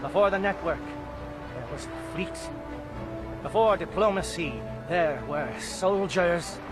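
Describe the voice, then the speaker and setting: A solemn alien captain addresses soldiers in a video game. The voice is fairly high for a male, with formal, measured, oratorical phrasing. Prominent dramatic music plays behind it. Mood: solemn, proud. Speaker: military captain. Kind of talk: video game cutscene acting.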